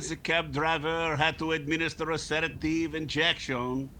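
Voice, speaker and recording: A man acts a long-suffering music professor from old radio comedy. He speaks slowly in a slightly high voice, weary and sardonic, as if doomed. The recording is noisy. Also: fairly even intonation, bright, forceful tone. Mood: weary, despairing. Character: gloomy, long-suffering male French violin professor. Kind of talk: 1940s radio comedy acting.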